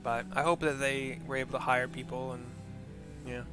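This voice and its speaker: A man hosting a gaming video, chatting casually in a halting, rambling way. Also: medium pitch, steady tone, over music. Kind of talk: casual chat over gameplay.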